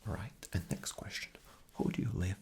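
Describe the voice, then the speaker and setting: A man playing an arrogant, rude boss in a whispered ASMR interview roleplay, breathy, condescending and dismissive. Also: sits low, animated, normal speed, mellow. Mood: impatient, condescending.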